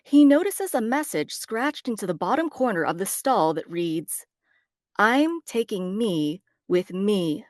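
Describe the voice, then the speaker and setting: A woman's voice: mid-range voice, very animated, a little slow, a little mellow, dry recording, clean studio sound. A woman narrating scripted stage directions at a table read.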